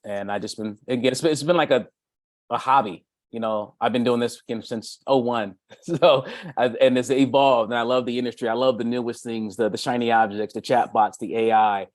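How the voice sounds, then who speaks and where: A man's voice: mid-range voice, steady tone, fairly quick, no room sound, clean studio sound. A middle-aged man talking casually and off the cuff about his work, often doubling back and repeating phrases. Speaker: B2B marketing consultant. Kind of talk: casual, unscripted self-introduction in a meeting.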